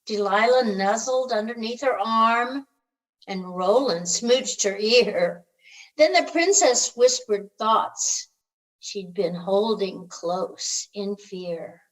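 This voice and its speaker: A woman reads a children's storybook aloud in a gentle, expressive storytelling manner, drawing out the ends of phrases. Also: mid-range voice, slow, poor audio. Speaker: storybook reader.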